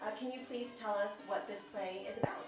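Upbeat american woman chatting about her theater, middle pitch, bit of music in the back. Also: fairly even intonation, fairly quick. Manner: enthusiastic, gushing with superlatives. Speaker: theater artistic director, presenter. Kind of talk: casual promotional address and interviewing colleagues. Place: small indoor offices of a theater.

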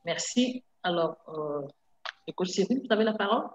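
A woman's voice: fairly low pitch, animated, moderate pace. A woman moderating an online session, introducing the speaker in an emphatic, urging way with many fillers. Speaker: event moderator. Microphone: video call. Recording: echoey dull video call with hum.

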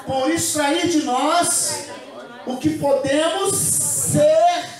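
A high-voiced man preaches into a handheld mic in a bare church hall. The sound rings with heavy echo and comes from some distance off the microphone. He leads call-and-response lines with the congregation. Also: steady tone, extremely slow. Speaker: pastor. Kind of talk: Pentecost sermon.

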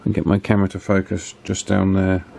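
Low-voiced man narrating a repair. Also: level tone, brisk, gravelly, mellow, a little hiss or hum. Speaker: radio repair technician and presenter. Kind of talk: hands-on repair tutorial narration. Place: indoors at an electronics workbench.

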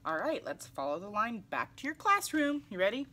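A woman reading a picture book aloud to young children in an animated, exclamatory way. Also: mid-range voice, brisk. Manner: animated, exclamatory, with audible gasps. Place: small indoor room, seated in armchair.

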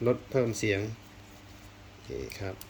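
A man giving casual hands-on unboxing commentary, thinking aloud as he explores, with polite phrasing. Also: measured pace. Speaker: unboxing video host. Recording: clear background noise, rustling and clicking.

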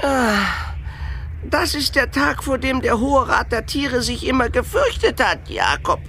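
Creaky, high-voiced ancient turtle. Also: female voice, very animated, fairly bright tone, a little hiss or hum, exaggerated voice. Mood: solemn, urging. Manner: slow, oracular, drawn-out delivery. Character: kindly ancient female turtle, wise and solemn. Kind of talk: children's fantasy radio play acting.

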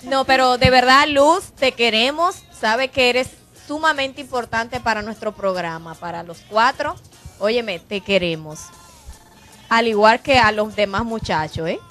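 A woman's voice: fairly high pitch, measured pace, a bit bright. A woman co-hosting a TV show, chatty and upbeat. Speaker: TV talk show co-host. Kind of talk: casual studio chat and ad reads. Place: TV studio set. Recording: TV broadcast with background music.

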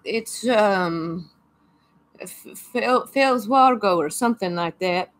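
A woman's voice: medium pitch, takes it slowly, a bit bright. A woman putting on the voice of a forgetful eighty-nine-year-old grandmother who rambles and mishears things. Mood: rambling. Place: small home room, indoors.

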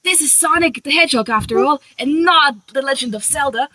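A woman's voice: high-pitched, a little fast, over a noisy crowd, natural voice. A woman voicing a cocky but easily flustered young male hedgehog hero, rambling casually in an improvised comedy fandub. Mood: defiant.

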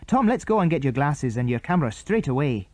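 A man's voice: medium pitch, big pitch swings, fairly quick, darkish tone, no echo, voice pushed up, natural voice. A man reading aloud and voicing a brave, thoughtful young boy who leads the others, in an eager, earnest way.

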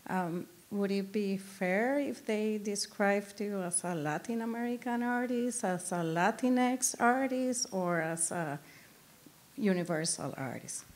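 A woman's voice: mid-range voice, takes it slowly. A woman in the audience asking a hesitant, polite question at a lecture. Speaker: audience member.

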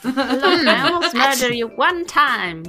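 A woman's voice: high-pitched, expressive, takes it slowly. A woman, a player talking casually during a tabletop game. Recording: music behind the voice. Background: clear background music.

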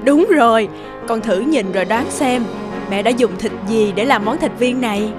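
A woman playing a patient, gentle mother who explains things coaxingly to her son in a cartoon. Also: on the high side, normal speed, close to an everyday voice. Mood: playful, coaxing. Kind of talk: cartoon dialogue, moral story. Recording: loud music under the voice.

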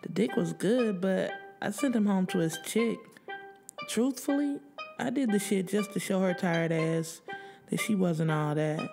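A woman narrating first-person fiction as an audiobook reader, expressive and full of streetwise slang. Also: mid-range voice, a little slow, vocal fry. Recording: music bed under the voice. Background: background music.

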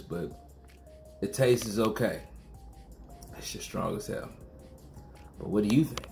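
A man in his thirties reviewing a beer to camera at home, talking casually in slang and repeating himself. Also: fairly low pitch. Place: small room at home, indoors.

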